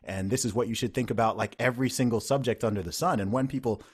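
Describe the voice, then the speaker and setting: A man who grew up in Saudi Arabia, hosting a casual conversation and talking in a loose, animated way with mocking asides. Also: medium pitch, fast, slightly rough.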